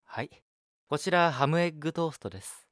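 A man's voice: fairly high pitch, fairly quick, a little hiss or hum, natural voice. Man acting polite young cafe assistant. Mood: courteous. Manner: courteous, softly teasing. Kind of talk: Japanese audio drama acting.

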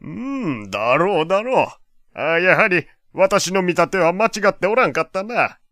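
A man's voice: sits high, very animated, unhurried, a bit bright, no echo, studio quality, exaggerated voice. An actor playing a proud, doting, wealthy old father who talks in pompous old-fashioned speech in an audio drama. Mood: boastful, pleased. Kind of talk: Japanese audio drama acting.

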